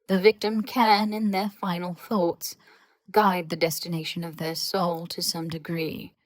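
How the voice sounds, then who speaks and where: A woman acts out an aloof government researcher in an audio drama. The voice is on the low side and closely dry-recorded, with formal, measured lecturing and dry sarcasm. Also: little pitch movement, no echo, close to an everyday voice, poor audio. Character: aloof, sarcastic, scholarly government researcher speaking through voice-disguising charm. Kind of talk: scripted audio fiction dialogue.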